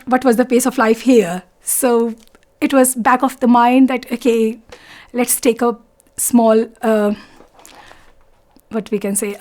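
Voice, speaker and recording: A woman in her forties from India with an Indian accent, telling her story in an interview, softly and haltingly, often pausing to search for words. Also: fairly high pitch. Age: 40s. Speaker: interviewee, doctor.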